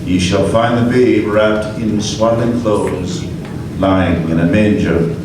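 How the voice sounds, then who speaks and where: A low-voiced man reads scripture with slow, reverent pauses. He sits well back from the microphone in a very echoey room. Steady noise runs underneath. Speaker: narrator reading scripture. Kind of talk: solemn scripture reading on live radio play. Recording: echoey room from far off, noisy.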